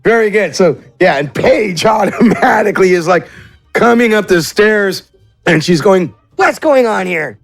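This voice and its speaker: A man narrates a tabletop role-playing game as its game master. His voice sits a little high and his delivery is casual and improvised. The sound is dry, with faint music underneath. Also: measured pace, dry recording. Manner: casual, swears, acts out characters. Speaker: game master and show host.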